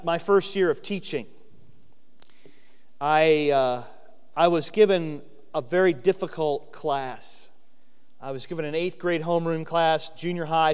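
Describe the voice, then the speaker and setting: A man with a loud voice giving an earnest Bible lesson in sermon style, stressing key words slowly. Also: fairly high pitch, clear, resonant tone, long reverb tail. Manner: earnest, emphatic with slow stressed phrases. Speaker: Bible teacher.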